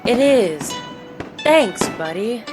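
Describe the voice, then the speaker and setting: Woman doing a cheery earnest kid hero, high n bright, cartoon dub, music behind. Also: big pitch swings, drawn-out words, exaggerated voice. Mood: cheerful. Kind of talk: animated comic dub acting.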